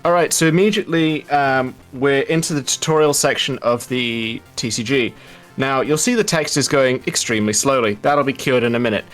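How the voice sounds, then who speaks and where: A man's voice: medium pitch, normal speed, fairly bright tone, low-quality recording. Guy doing casual speedrun commentary, explains stuff in a wry chatty way, some music in the back.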